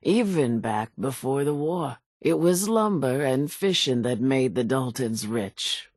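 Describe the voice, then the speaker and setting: An actress playing a vengeful old island widow in a video game, telling grim tales in a raspy, folksy, theatrical way. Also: female voice, extremely low pitch, animated, a little slow, darkish tone, no room sound, clean studio sound, full character voice. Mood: grim storytelling. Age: older. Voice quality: raspy, gravelly. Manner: folksy, dramatic storytelling drawl. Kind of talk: video game character dialogue.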